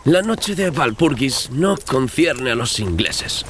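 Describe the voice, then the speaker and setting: A male narrator voicing a curious, obstinate English gentleman traveller, questioning and dismissive of superstition, in a dramatized audiobook. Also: medium pitch, sing-song, takes it slowly, a little hiss or hum, pitched above the performer's own voice. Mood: defiant.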